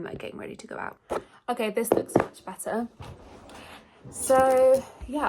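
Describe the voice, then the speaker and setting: A woman's voice: medium pitch, background noise. A young woman, congested from a cold, chatting casually and rambling through a get-ready-with-me vlog at home. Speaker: beauty vlogger. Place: at home, shared house.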